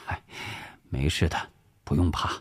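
A composed middle-aged officer, voiced by a male narrator speaks in an audiobook drama. The voice sits low and soft, husky in texture. He sounds measured, steady and in quiet command. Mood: calm, reassuring.

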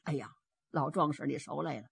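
A woman storyteller voicing a grave, courteous male official, measured and gracious, in a traditional Chinese tale. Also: deep voice, vocal fry, slightly rough, no echo, clean studio sound, pitched below the performer's own voice, exaggerated voice. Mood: grave, grateful.

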